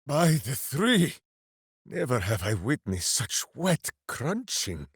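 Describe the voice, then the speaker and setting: An actor voicing a hearty, simple-minded, boastful heroic berserker in a video game, with a bombastic, theatrical way of talking. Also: male voice, medium pitch, wildly swooping pitch, takes it slowly, slightly rough, no room sound, clean studio sound, full character voice. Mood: hearty, blustering. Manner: bombastic, theatrical, speaks of himself in third person. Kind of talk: video game character voice lines.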